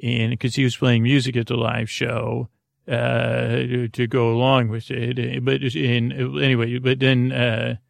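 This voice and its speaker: A man's voice: mid-range voice, level tone, measured pace, gravelly, no room sound. A man hosting a podcast in a rambling, casual monologue, hesitant, often trailing off and doubling back. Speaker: podcast host.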